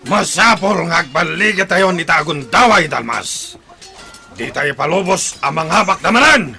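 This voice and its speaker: Casting: warlike rival chieftain, very sharp gravelly edge, exhorting his fighters in radio-drama style. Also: fairly high pitch, animated, moderate pace, faint music behind, heavily acted. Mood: fierce, determined. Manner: forceful, exhorting. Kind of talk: Ilocano radio drama acting.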